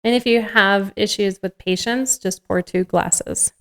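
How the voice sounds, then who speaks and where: A woman's voice: medium pitch, fairly even intonation, a little slow. A woman co-hosting a podcast with informal, jokey conversational banter. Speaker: podcast co-host. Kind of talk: casual co-hosted podcast banter.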